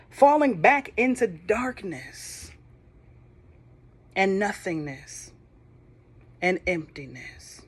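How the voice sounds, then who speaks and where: A woman reading a children's Bible storybook aloud, slow and expressive, with soft whispered moments and playful asides to young listeners. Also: medium pitch, breathy edge. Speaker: storybook reader.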